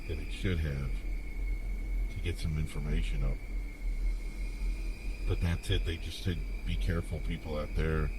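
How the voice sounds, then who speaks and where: A man improvising as a concerned radio newscaster, speaking in a halting, repetitive, conversational way over an ASMR roleplay broadcast. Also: low-pitched, very noisy. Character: concerned male radio newscaster relaying outbreak reports.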